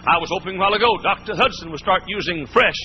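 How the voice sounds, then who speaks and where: High-voiced folksy preacher on muffled tape. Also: male voice, fast. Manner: folksy, emphatic, repetitive. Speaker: pastor. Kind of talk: sermon. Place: church. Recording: muffled old sermon tape, faint hiss.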